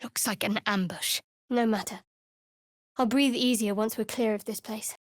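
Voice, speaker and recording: A woman playing a guarded, sardonic young woman cleric of a dark goddess in a video game, with a dry manner. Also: a little high, steady tone, moderate pace, no room sound, close to an everyday voice. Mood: dry, observant. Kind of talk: video game character voice acting.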